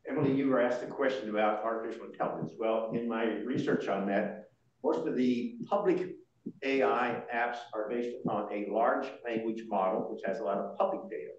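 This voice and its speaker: An older man presenting informally at a board meeting, explaining things in a halting way. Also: mid-range voice, a little hiss or hum. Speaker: association board member presenting. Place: large indoor meeting room. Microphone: far room microphone. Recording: muffled, very echoey room, distant mic.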